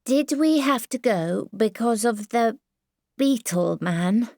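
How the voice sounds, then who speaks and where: A tired little English boy acted by a woman whines with stubborn persistence. The words come slowly and insistently, as storybook dialogue with no room sound around them. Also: a little high, animated, a little mellow, dry recording, studio quality, voice pushed up. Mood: puzzled. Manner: whiny, insistent, slow. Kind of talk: dramatised audiobook character dialogue.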